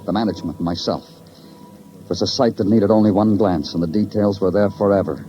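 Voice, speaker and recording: An actor playing a world-weary, sardonic police detective in a 1950s radio crime drama, with terse, poetic narration. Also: male voice, on the low side, brisk, natural voice. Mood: grim, terse. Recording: dull old radio recording, music behind.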